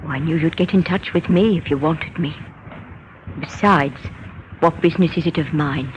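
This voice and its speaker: A woman's voice: low voice, normal speed, lightly acted. A woman playing a bitter, grieving, defensive mother in an old-time radio drama, on a dull, noisy recording. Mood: curt, bitter.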